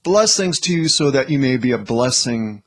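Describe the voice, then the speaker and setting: A man's voice: medium pitch, lively intonation, moderate pace. A man hosting a video, talking casually and informally to the viewer. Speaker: video host.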